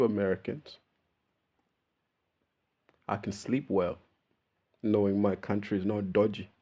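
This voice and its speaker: A man's voice: fairly low pitch, darkish tone. A man with a Melbourne Australian accent, talking casually straight to his viewers in a laid-back, swearing monologue. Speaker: YouTuber.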